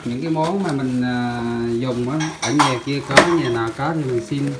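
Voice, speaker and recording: A man's voice: mid-range voice, moderate pace, very noisy. A man with a southern Vietnamese accent narrating a vlog casually, chatting familiarly to his viewers. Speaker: vlogger. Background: music.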